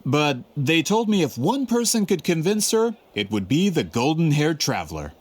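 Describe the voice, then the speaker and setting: A man's voice: a little high, very animated, moderate pace, a little hiss or hum. An actor playing a polite, persuasive male book publisher in a video game. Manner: formal, courteous, salesman-like.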